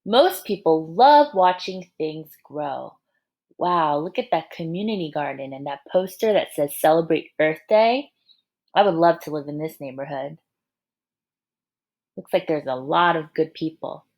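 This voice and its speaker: Lady teacher reading a kids book out loud, really lively up and down voice, encouraging, asks the little ones questions. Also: sits low, unhurried. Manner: expressive, encouraging, addresses young listeners directly. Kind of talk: read-aloud for young children with questions and asides.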